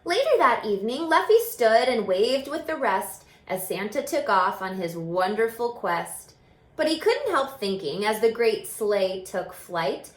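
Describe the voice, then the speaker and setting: A woman reading a children's story aloud in an expressive, explaining manner, pausing to put questions to young listeners. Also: medium pitch. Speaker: story reader and teacher. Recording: roomy, echoing room sound.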